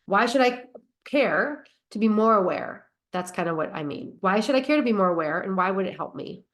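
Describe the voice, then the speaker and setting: A woman's voice: medium pitch, lively intonation, moderate pace. A woman with a cold, sounding nasal and stuffy, hosting her own podcast in an earnest, reflective way and asking rhetorical questions. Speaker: podcast host. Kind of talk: casual solo podcast talk.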